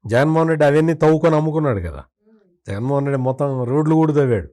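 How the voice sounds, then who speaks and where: A man's voice: on the high side, animated, rapid-fire, warm tone. A man speaking as a political commentator in an interview, in a critical, opinionated way with emphatic repetition.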